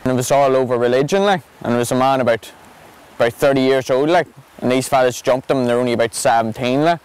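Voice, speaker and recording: A man's voice: medium pitch, fast talker, a little mellow, clean tone, low-quality recording. A young man with a Northern Irish accent, earnestly recounting events in an outdoor documentary interview. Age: young adult. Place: outdoors on a footbridge.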